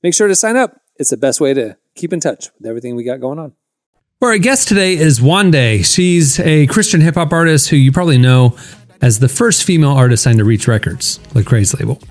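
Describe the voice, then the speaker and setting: A man hosting a podcast, moving from casual chat to brisk, upbeat promo reads. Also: a little high, expressive, faint music behind. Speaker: podcast host.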